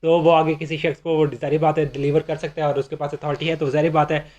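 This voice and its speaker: Male lecturer, Pakistani accent, fairly high voice, didactic delivery that repeats and rephrases for learners. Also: flat delivery, quick pace, darkish tone, highs cut off. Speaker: law lecturer. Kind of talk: explanatory lecture, reading out legal clauses and explaining them.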